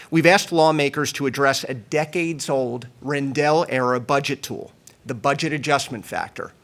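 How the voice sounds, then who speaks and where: A man speaks as the host of a press conference, delivering prepared remarks. His delivery is formal and emphatic, like an association leader addressing officials, with a mid-pitched voice. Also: fairly bright tone.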